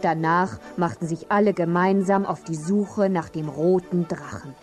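A woman's voice: on the low side, fairly even intonation, a little slow, soft background music. A woman reading scripted storybook narration for children as a narrator.

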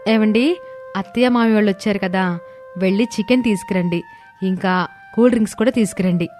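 A woman's voice: medium pitch, brisk, a little mellow, soft background music, close to an everyday voice. Woman playing a sweet young daughter-in-law. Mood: polite. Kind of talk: animated moral story voice acting.

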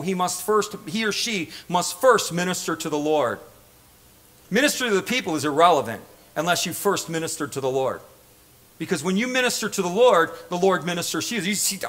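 A man's voice: sits high, lively intonation, brisk, bright, forceful tone. A middle-aged man preaching an impassioned sermon, emphatic and repetitive, prodding listeners with rhetorical questions. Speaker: pastor. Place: church stage.

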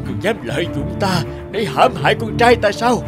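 An enraged cartoon emperor, commanding and accusing. His raised voice rides over clear background music. He speaks with imperious authority. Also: high-pitched, expressive, a little fast. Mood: furious. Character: enraged, commanding male emperor, betrayed father. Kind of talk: animated fairy-tale drama.

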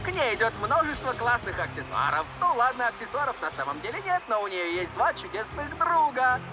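A performer voicing an excitable cartoon presenter who hypes action figures in a toy advert. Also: medium pitch, brisk, natural voice. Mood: salesy enthusiasm. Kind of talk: animated cartoon dubbing, promotional presenting. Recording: music playing behind the voice.

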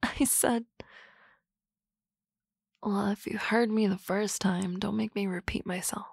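A woman playing a teasing, confident office boss in a soft, close, whispered ASMR roleplay. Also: mid-range voice, steady tone, takes it slowly, gravelly, breathy edge, no room sound.

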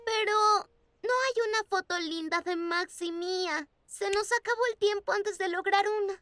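A woman's voice: very high voice, normal speed, a bit bright, no room sound. A woman voicing an earnest, bossy big-sister bunny girl in a children's cartoon dub. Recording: music behind the voice.

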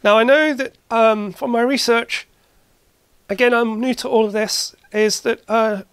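Casual tech hobbyist host, mid pitch, halting delivery, matter-of-fact, thinking aloud through a demo. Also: steady tone, a bit bright, clean tone, no echo. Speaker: host, retro computer hobbyist. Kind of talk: casual hands-on demonstration. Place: indoor cluttered workshop.

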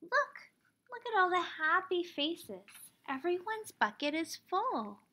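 High-pitched woman reading to young children. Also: unhurried. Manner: expressive, simple explaining for young children. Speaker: teacher reading a picture book aloud. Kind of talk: children's storybook read-aloud.

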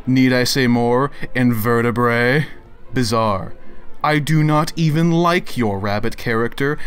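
Guy doing a pompous offended internet crank, super formal and theatrical, bit of music behind him. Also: mid-range voice. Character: pompous, indignant male internet crank issuing grandiose demands. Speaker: narrator of read-out messages. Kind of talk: dramatic reading of written messages.

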